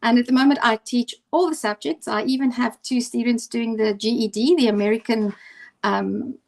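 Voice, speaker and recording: A woman's voice: on the high side, steady tone, normal speed, gravelly. A woman from South Africa with an Afrikaans accent, a teacher chatting casually on a video call and often restarting her sentences. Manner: hesitant, many restarts and fillers. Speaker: teacher and co-host.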